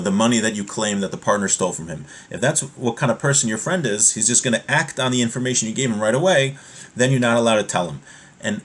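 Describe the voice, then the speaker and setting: A man giving an informal religious lesson, explaining step by step in a halting way and rephrasing his points as he goes. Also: medium pitch. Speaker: religious teacher giving a class.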